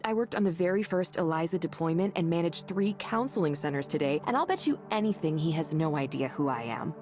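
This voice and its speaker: A woman's voice: low-pitched, expressive, brisk, darkish tone, soft background music. An actress playing a friendly, eager and admiring woman in a visual novel, with an earnest, chummy manner.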